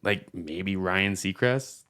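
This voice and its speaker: A man's voice: sits low, slow, bright, forceful tone, smooth. A man chatting casually as a podcast host, with informal slang and an emphatic, opinionated way of talking. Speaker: podcast host and reaction video creator.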